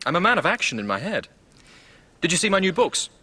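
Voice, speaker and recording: An actor playing an earnest, polite and inquisitive young gentleman in a radio drama. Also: sits high, very animated, quick pace, husky, heavily acted. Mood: eager.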